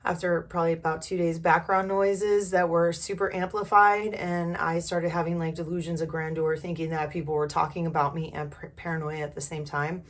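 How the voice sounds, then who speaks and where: Hoarse, low-voiced woman vlogging candidly. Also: level tone, fairly quick, hardly any pauses. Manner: candid, informal, mildly profane. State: hoarse from a recent illness. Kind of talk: casual personal storytelling.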